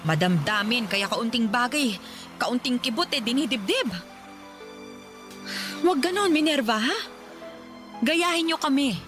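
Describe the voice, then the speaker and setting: A woman's voice: on the high side, close to an everyday voice. A woman playing a stern, nagging widowed mother in a radio drama, scolding and lecturing her daughter.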